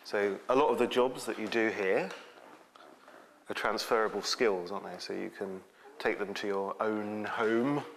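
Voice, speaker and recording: A man's voice: lowish voice, steady tone, moderate pace, gravelly, slight background noise. A man explaining things simply and checking that his listener agrees.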